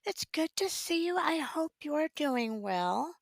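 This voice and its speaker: A woman hosting a casual art livestream, her voice raspy as she recovers it, talking in a relaxed, halting way with many ums. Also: sits high, dry recording. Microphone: desk microphone.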